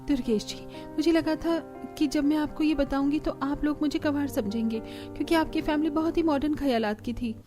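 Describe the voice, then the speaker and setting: A woman's voice: high-pitched, steady tone, fairly quick, hardly any pauses, music in the background, lightly acted. A woman voicing a meek, timid young daughter-in-law in a cartoon family drama, with a pleading, deferential manner. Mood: explaining meekly.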